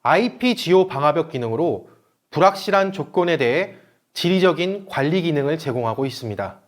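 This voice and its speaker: A man giving a scripted webinar presentation in a formal, explanatory manner. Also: fairly high pitch. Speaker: presenter, company manager.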